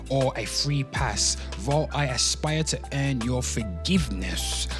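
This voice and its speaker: A man speaking casually and emphatically in an off-the-cuff rant, with slang, swearing and repeated phrases, talking straight to his listeners. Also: mid-range voice. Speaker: host of a pop culture commentary show. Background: music.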